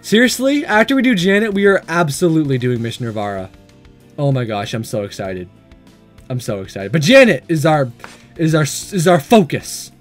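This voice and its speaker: A man with a fairly high, very animated voice reads through a visual novel as a let's-play. He is playful and excitable, joking at his own expense, and music plays softly under him. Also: normal speed. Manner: playful, excitable, self-deprecating. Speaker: gaming video host.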